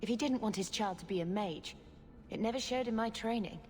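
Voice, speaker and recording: A woman playing a gentle, dutiful young mage sister in a video game, speaking earnestly and reflectively. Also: on the high side, fairly quick, close to an everyday voice. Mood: pensive. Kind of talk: video game character acting. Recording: reverberant hall, music behind.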